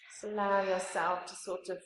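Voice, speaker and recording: A woman yoga teacher leading a guided meditation in a calm, soothing manner, with pauses between phrases. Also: medium pitch, slight background noise. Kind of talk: guided meditation, gentle spoken instruction. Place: indoor room, live training course class. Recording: roomy echo, live class recording.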